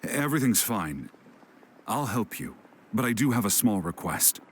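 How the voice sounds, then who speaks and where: A man's voice: fairly low pitch, sing-song, normal speed, slight background noise. A man playing a guarded, brooding veteran warrior and scout in a video game, reserved and prone to muttering. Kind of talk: English video game voice acting. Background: music.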